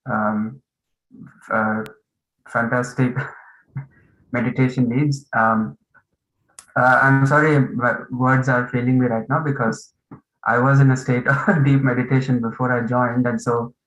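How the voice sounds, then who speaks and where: A man of average pitch leads an online meditation session over a video call. He speaks haltingly, with frequent ums and uhs. His voice has a roomy echo around it. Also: lo-fi sound. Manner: hesitant, many fillers, warm welcoming tone. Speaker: meditation course host.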